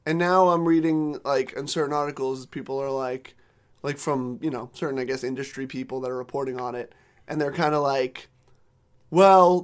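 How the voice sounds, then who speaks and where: A man co-hosting a casual gaming podcast, reading news articles aloud while losing his voice and clearing his throat. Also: medium pitch. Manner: clears throat, reads quotes in runs. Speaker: podcast co-host.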